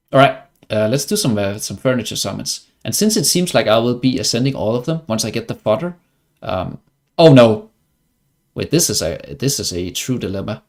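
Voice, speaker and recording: A man's voice: mid-range voice, gravelly, a little mellow. Danish-accented man, casual game streamer. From Denmark. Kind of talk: casual livestream commentary over gameplay.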